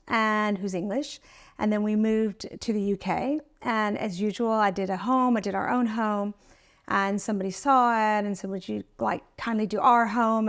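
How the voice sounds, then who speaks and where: A middle-aged woman from Italy, an interior designer, talking chattily and enthusiastically with many fillers as a guest in a client's house. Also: mid-range voice. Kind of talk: conversational interview.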